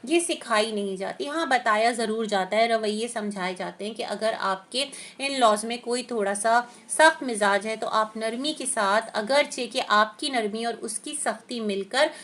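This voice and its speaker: A woman with a somewhat high voice and a sore throat gives reaction commentary. She lays out her opinions in a steady, explanatory way. Her talk is full of small hesitations as she searches for words. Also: a little slow, hardly any pauses. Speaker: reaction vlogger.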